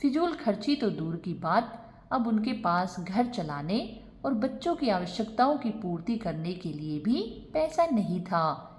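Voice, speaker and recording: Woman, storyteller, scripted narration, slow pace, lively intonation, medium pitch.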